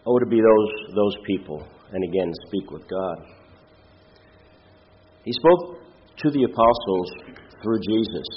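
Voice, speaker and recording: A man with a head cold and a nasal, congested voice gives an informal sermon as a lay preacher, in a halting, plain-spoken way. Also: on the low side, animated, lo-fi sound. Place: church, speaking before a congregation.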